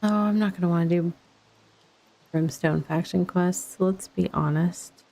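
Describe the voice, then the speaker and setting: Slow-talking woman with a creaky, low-pitched voice. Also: fairly even intonation, warm tone. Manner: unhurried rambling, thinking aloud. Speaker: livestreamer playing a video game. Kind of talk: casual chat over gameplay.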